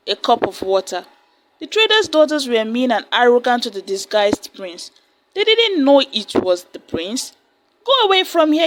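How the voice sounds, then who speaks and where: A woman narrating a folktale in a steady, plain storytelling manner. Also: a little high, a little hiss or hum, low-quality recording. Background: soft music.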